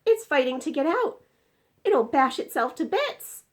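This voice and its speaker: Lady doing a little storybook girl, higher voice, curious and kinda alarmed. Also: sing-song, measured pace. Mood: excited, alarmed. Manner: questioning, exclaiming.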